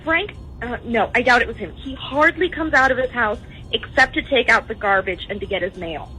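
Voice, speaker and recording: A woman's voice: on the high side, a little fast, sharp tone, faint music behind. A woman talking conversationally on a phone call. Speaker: caller on a radio phone segment.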